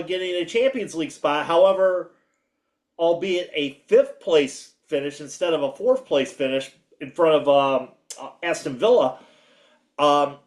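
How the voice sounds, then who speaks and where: Loud high-pitched man talking sports. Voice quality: loud, projecting voice. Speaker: sports show co-host. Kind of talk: casual sports recap chat.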